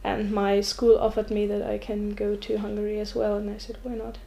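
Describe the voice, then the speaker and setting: A woman's voice: mid-range voice, completely flat delivery, a little fast, creaky, warm tone, a little hiss or hum. A sixteen-year-old young woman with a German accent, giving casual answers as an interview guest. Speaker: exchange student guest.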